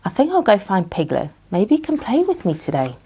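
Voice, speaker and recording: A woman reading a bedtime storybook as a gentle, simple-minded young male toy bear, polite and earnest in plain words. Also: mid-range voice, wildly swooping pitch, a little fast, husky, exaggerated voice. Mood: cheerful, hopeful.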